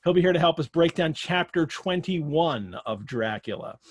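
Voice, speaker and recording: A man's voice: fairly high pitch, lively intonation, normal speed, no echo, clean studio sound. An American man hosting a live online show, chatting through announcements and questions in a conversational way. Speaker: host of an online literary show. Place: remote online video call.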